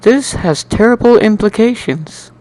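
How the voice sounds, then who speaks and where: A man giving an amateur dramatic reading of a first-person story in an animated, wry, sardonic way. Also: high voice, measured pace, poor audio. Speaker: amateur narrator.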